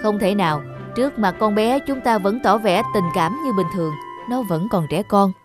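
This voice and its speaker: A woman's voice: medium pitch, normal speed, over music, lightly acted. A woman voicing an irritable, self-important husband and father in a cartoon, blunt and dismissive. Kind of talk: cartoon dubbing.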